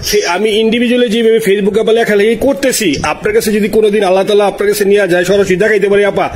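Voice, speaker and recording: Bangladeshi guy on a phone call, high voice, talks real fast, super deferential and eager, repeats himself. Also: level tone. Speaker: party supporter on a call to the leader. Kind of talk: informal phone conversation. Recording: slightly noisy phone call recording.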